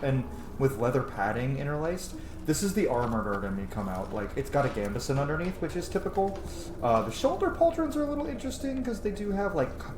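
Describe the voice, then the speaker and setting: A man in his thirties giving casual, enthusiastic game commentary, musing aloud and swearing casually. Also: a little high. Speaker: gaming streamer, let's play host. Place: indoor room at home. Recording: roomy echo, clear background noise.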